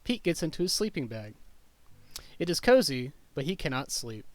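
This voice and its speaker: A man's voice: on the high side, big pitch swings, normal speed, dry recording. Man reading a picture book, dry close sound. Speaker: teacher reading aloud to kindergarteners. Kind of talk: children's picture book read-aloud.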